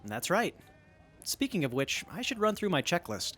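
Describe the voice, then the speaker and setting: A man's voice: sits high, big pitch swings, moderate pace, fairly bright tone, exaggerated voice. A man playing a polite, anxious and meticulous infantry soldier in an audio drama, speaking precisely. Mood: polite, fussy.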